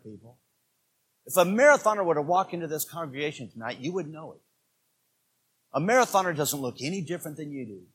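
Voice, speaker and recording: A man in his sixties preaching a sermon as pastor, swinging between quiet asides and loud, emphatic preaching. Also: fairly high pitch, slight background noise. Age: 60s.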